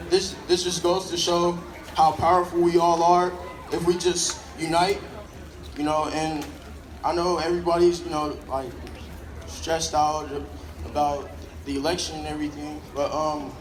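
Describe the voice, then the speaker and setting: A man's voice: a little high, little pitch movement, a little slow, long pauses. A teenage boy giving a hesitant, earnest speech at a school protest, with many "you know"s. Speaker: student speaker at a protest. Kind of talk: hopeful rally speech. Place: outdoor school protest crowd. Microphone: phone camera in the crowd. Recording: noisy echoey phone recording of a crowd.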